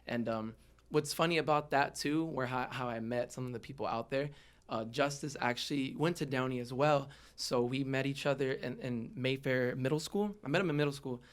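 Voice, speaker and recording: A man's voice: medium pitch, moderate pace. A young man with a Southern California American accent, telling his own story openly and informally as a podcast guest. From Los Angeles area, United States. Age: young adult. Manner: open, informal, slangy. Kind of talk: casual personal interview storytelling.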